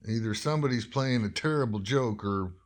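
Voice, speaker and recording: A man portrays a small-town doctor in a horror radio play, with a middling pitch. He is gruff, impatient and skeptical, scolding in brusque, folksy phrases. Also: a little fast, natural voice. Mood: irritated, uneasy. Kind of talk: radio drama horror acting.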